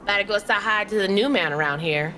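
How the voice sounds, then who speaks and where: A woman's voice: mid-range voice, a little fast, sharp tone, a little hiss or hum, close to an everyday voice, rough recording. A woman playing a feisty, plain-spoken hangar mechanic with a folksy, scolding and teasing way of talking in an audio drama. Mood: teasing, frank. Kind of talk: audio drama character acting.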